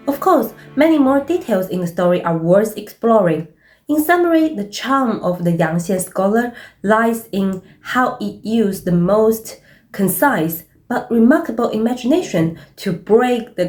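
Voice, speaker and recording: Higher-voiced woman presenting a scripted literary commentary. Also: a little mellow. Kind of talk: scripted video essay commentary.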